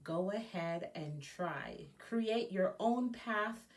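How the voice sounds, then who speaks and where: A woman's voice: lowish voice, lively intonation, takes it slowly. Jamaican-born woman reading a story aloud. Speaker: education nonprofit executive director reading as guest. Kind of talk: children's story time read-aloud with informal asides.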